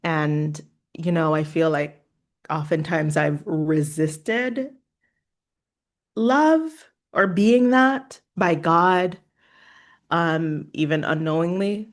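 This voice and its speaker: Low-voiced, unhurried woman guest. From Haiti. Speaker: podcast guest. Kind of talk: reflective conversation.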